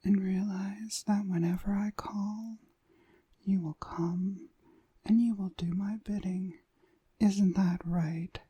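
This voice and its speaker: A woman playing a dominant, seductive witch mistress in a whispered ASMR hypnosis roleplay, breathy, soothing and commanding. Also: medium pitch, fairly even intonation, slow pace, mellow, dry recording.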